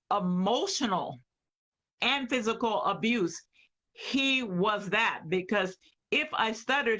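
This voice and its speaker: Female interview guest, high bright voice, slow and earnest, with pauses. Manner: earnest, with hesitations and fillers. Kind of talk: casual interview chat.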